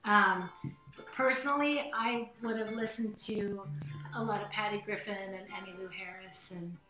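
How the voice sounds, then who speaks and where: A woman's voice: medium pitch, steady tone, normal speed, echoey room, over music, rough recording. A middle-aged woman, a singer-songwriter, chatting on a video call in a rambling casual way. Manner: chatty, rambling, says "like" often. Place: home room with green wall.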